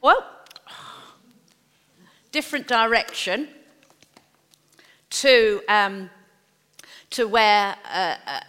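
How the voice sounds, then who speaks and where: Woman preacher, bright and slightly high voice, chatty sermon, church reverb. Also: animated, drawn-out words, long pauses, clear, resonant tone. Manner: chatty, self-deprecating storytelling before preaching. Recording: long echo of a church hall.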